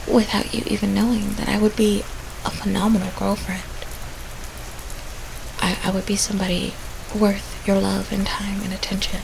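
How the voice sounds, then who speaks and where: A woman's voice: medium pitch, vocal fry, noisy background, close to an everyday voice. A woman playing a shy, lovesick stalker confessing to a coworker in an audio roleplay, stammering, repeating and pleading. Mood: hushed, yearning. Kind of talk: immersive roleplay audio drama monologue.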